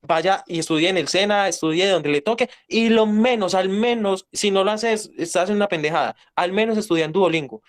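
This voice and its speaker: Emphatic high-voiced Paisa man. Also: a little fast, hardly any pauses. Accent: Paisa Colombian. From Colombia. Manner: emphatic, lecturing, blunt. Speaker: guest. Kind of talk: casual interview talk.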